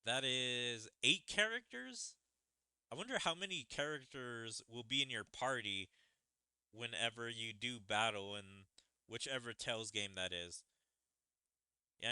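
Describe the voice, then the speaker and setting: A man's voice: medium pitch, lively intonation, measured pace, pushed and bright, clear, resonant tone, dry recording. A man streaming a video game, giving casual running commentary and speculating aloud as he plays. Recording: game music behind. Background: video game music.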